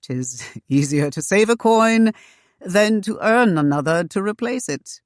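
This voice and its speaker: A woman voicing a shrewd, fussy older gentleman in a storybook, speaking in a formal, old-fashioned way. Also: mid-range voice, animated, a little slow, dry recording, clean studio sound, pitched above the performer's own voice, close to an everyday voice. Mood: proud, wry.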